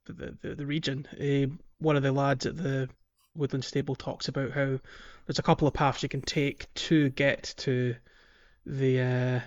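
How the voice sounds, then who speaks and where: A man co-hosts a casual gaming podcast. His voice sits at an ordinary middle pitch, and he explains things in a rambling, hesitant way. The recording is slightly dull and lo-fi. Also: level tone, normal speed. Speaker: podcast host.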